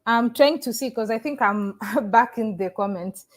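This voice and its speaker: Chatty higher-voiced woman from Africa. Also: moderate pace. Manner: chatty, restarts sentences, addresses viewers directly. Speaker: YouTuber livestreaming and reading chat. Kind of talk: casual live-stream chat. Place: living in the Caribbean.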